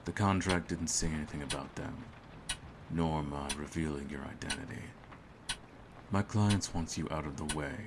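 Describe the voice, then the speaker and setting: A cold, smug hitman, acted by a man for an audio roleplay. His voice is extremely deep with a gravelly creak, and he phrases things slowly and theatrically, full of menace. Clear noise runs behind the recording. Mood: cold, composed menace. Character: cold, smug male hitman holding his target at gunpoint. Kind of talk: scripted ASMR audio roleplay.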